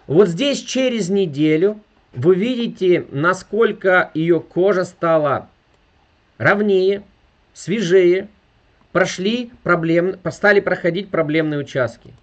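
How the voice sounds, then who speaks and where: A man's voice: high-pitched, takes it slowly, highs cut off. A man in his thirties giving an emphatic sales presentation over a video call, constantly appealing to his viewers. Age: 30s. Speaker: network marketing presenter. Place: indoor room with furniture.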